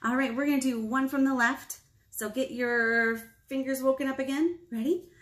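Woman in her thirties, higher-pitched voice, children's librarian, preschool storytime, simple explanations, direct questions to kids. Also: steady tone, normal speed, fairly bright tone. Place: small indoor room at a library.

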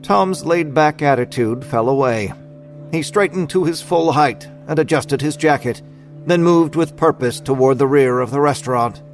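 A man's voice: a little high, normal speed, soft background music. A man narrating a scripted story in an audio drama.

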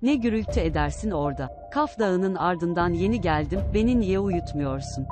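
A woman's voice: on the low side, expressive, moderate pace, natural voice. A woman playing an imperious, shrewd serpent queen in a cartoon fairy tale, commanding and stern. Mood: annoyed, menacing. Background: prominent music.

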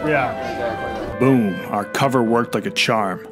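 A man's voice: medium pitch, slow pace. A man narrating his vlog in a scripted voice-over, wry and sarcastic. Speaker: vlogger, host. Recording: voice-over with loud music behind.

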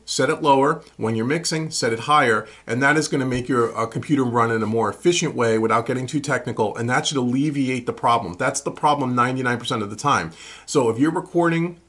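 A man in his forties talks to camera as the host of a how-to show. His mid-pitched voice has a husky, rough-edged grain. He explains things step by step in a casual, conversational manner, stressing key points as he goes. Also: a bit bright. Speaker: host of a home recording tutorial Q&A show. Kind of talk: casual explanatory talk to camera, answering viewer questions. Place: small furnished room at home, indoors.

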